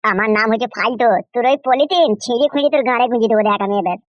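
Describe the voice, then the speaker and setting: A man's voice: very high voice, very fast, fairly bright tone, dry recording, lo-fi sound. A man voicing a hot-headed, gullible village husband in a comic cartoon, blustering and whining in a rural West Bengal accent. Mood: furious, blustering. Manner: blustering, whining, mispronounces words.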